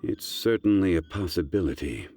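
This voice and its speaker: A man voicing a calm, learned gentleman in a video game, speaking formally and deliberately as he reasons. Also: deep voice. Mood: composed, pondering. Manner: measured, formal, deliberate, analytical.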